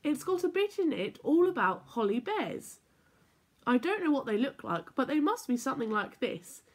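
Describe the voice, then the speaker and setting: A woman's voice: sits high, very animated, moderate pace, voice pushed up. A woman voicing a proud, eager very small female bear in a children's storybook.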